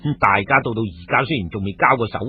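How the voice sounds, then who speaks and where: A man's voice: fairly low pitch, lively intonation, quick pace. A man with a Cantonese accent narrating a scripted story dramatically, as a radio storyteller. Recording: phone-like, muffled radio audio with music. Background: background music.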